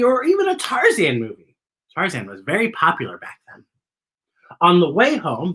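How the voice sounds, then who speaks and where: A woman's voice: on the high side, fairly bright tone. A woman hosting a children's story time, reading a picture book aloud in a playful, theatrical way with chatty asides to the young listeners.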